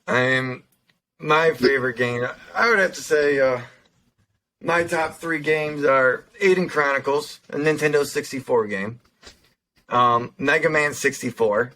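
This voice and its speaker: A young man with a slightly raspy voice co-hosts a casual podcast, talking his way through stories with frequent fillers and restarts. His pitch sits in an ordinary middle range. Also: pushed and bright. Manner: halting, lots of fillers and restarts. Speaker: podcast co-host. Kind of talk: casual chat, reading news articles.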